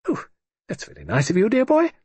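A husky-voiced man voicing an elderly aristocratic great-aunt who is brisk, teasing and dry-witted. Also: medium pitch, wildly swooping pitch, dark tone, no room sound, pitched above the performer's own voice, full character voice. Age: older.

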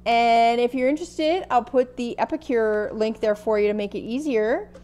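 A woman's voice: a little high, normal speed, mellow. A middle-aged woman chatting casually while demonstrating kitchen tools, repeating phrases and often tagging on "right?". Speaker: vlogger. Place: home kitchen, indoors. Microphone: earphone headset mic, phone held close.

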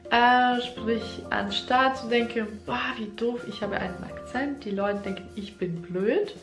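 Give me a young non-native woman speaking German to the camera in an echoey room, a little high, with music behind. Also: unhurried. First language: not German. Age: young adult. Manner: earnest, slightly non-native phrasing, speaks with hands. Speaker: German teacher vlogger. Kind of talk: casual talk to camera. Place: small room, indoors. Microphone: camera in front, head-and-shoulders framing.

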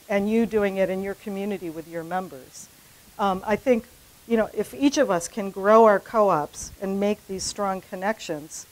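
A woman's voice: medium pitch, low-quality recording. A middle-aged woman speaking off the cuff at a conference, conversational with many fillers and restarts, building to a rallying finish. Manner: animated, gesturing widely, rising to a rallying finish. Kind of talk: unprepared conference talk. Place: conference room in front of a poster. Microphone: handheld mic.

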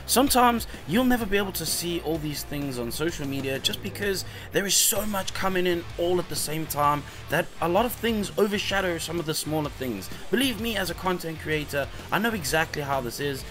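A man in his thirties talks through his vlog in a loose, rambling way, as if chatting with a friend. His voice sits a little high for a man. Light background music plays beneath the voice-over. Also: a little fast, few pauses. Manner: relaxed, rambling, easygoing. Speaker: vlogger and hobby shop owner.

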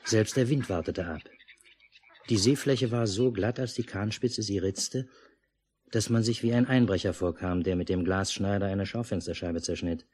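Deep, pensive male narrator, old radio play. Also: a little mellow, husky. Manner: measured, reflective. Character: pensive father narrating his lakeside memory. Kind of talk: literary first-person radio drama narration. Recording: noisy 1950s mono radio recording.

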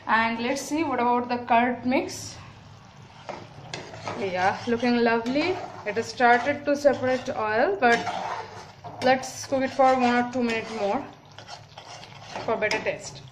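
A woman whose first language is Bengali, calmly walking through a home cooking tutorial with frequent "okay, so" fillers, at her kitchen stove. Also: on the high side, a little slow, long pauses. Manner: calm, instructive, many "okay, so" fillers. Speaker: cooking video host. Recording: home recording with clear kitchen noise. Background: clinking pots, pans and cutlery.

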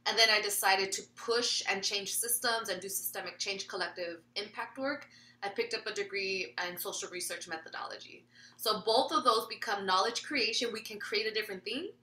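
Animated young woman, bright echoey call audio. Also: medium pitch, normal speed. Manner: animated, talks with her hands. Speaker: featured panel speaker. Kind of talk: video call panel talk. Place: small room indoors.

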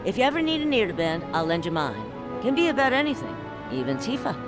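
A woman's voice: medium pitch, big pitch swings, quick pace, natural voice. A woman playing a shrewd older landlady in a video game, with a folksy, knowing, teasing way of talking. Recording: game music behind the voice.

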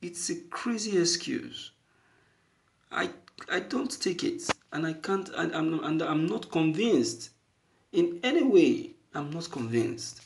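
A man giving opinionated news commentary as an online commentator, halting and deliberate, repeating phrases emphatically for stress. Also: fairly high pitch, expressive, gravelly, slight background noise.

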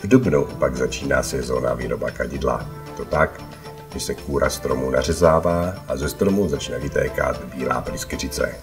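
Very deep male travelogue narrator over music.

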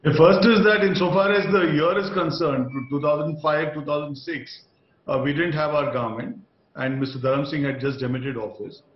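A man's voice: a little high, fairly even intonation, moderate pace. A middle-aged man with an Indian accent, a party spokesperson arguing in a TV debate with emphatic repetition and rhetorical questions. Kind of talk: TV debate argument. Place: small room, indoors, on video call. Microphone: video call. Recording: dull, echoey video-call sound.

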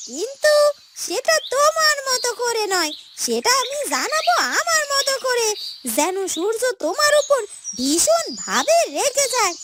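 A woman's voice: extremely high pitch, very noisy, full character voice. A woman playing a scheming, spiteful young female villain in a fairytale cartoon, sly and gloating, with music under her. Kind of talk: children's fairytale cartoon voice acting.

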